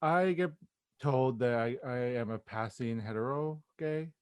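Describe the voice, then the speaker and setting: A man's voice: mid-range voice, a little slow, clean tone, dry recording. A man with an American accent talking casually as an interview guest, in a hesitant, giggly manner. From Los Angeles, United States.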